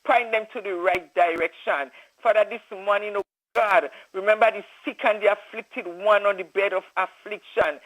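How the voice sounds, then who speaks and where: A man's voice: high-pitched, fairly even intonation, normal speed, fairly bright tone, poor audio. A man leading a fervent spoken prayer, calling out "oh God, Father" between his phrases. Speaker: prayer leader.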